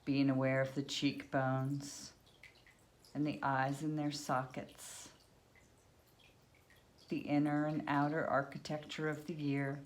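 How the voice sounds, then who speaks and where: A woman leading a guided yoga nidra meditation, speaking unhurriedly in short phrases with long pauses between them. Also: deep voice, little pitch movement, slight background noise.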